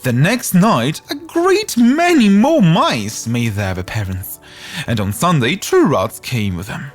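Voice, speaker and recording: A man narrating a scripted fairytale as an expressive storyteller. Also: a little high, measured pace, soft background music.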